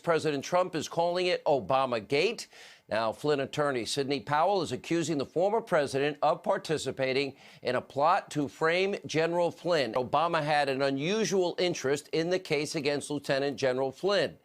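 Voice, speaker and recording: American guy news host, kinda high voice, punchy scripted commentary. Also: moderate pace. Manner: emphatic, punchy.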